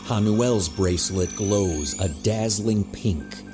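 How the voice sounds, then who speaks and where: A man's voice: lowish voice, slow, music in the background. A man reading scripted narration for an audio drama, describing the action as narrator.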